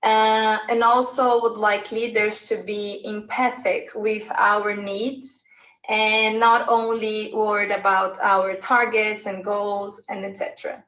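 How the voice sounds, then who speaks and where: A woman's voice: mid-range voice, little pitch movement, slow, a bit bright, roomy sound, rough recording. A woman from Brazil, giving a short statement with a careful delivery.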